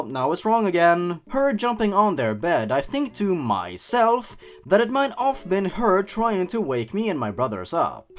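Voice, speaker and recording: Sarcastic man reading aloud with swooping pitch. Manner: sarcastic, mocking. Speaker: host reading and commenting on online horror stories. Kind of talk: story reading with sarcastic asides.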